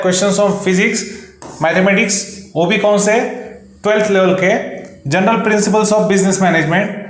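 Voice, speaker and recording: A man with an Indian accent teaching an online exam lesson, explaining in an instructional way and mixing in English terms. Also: high-pitched. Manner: instructional, mixes Hindi with English terms, frequent check-in tags. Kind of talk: explanatory online lesson, reading out syllabus lists. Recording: very echoey, reverberant room.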